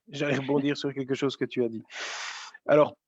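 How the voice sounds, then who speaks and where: A man's voice: mid-range voice, flat delivery, moderate pace, no room sound. Friendly man hosting an interview. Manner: friendly, stumbling over his words. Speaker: interviewer host. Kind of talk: casual interview. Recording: dull lo-fi dry sound.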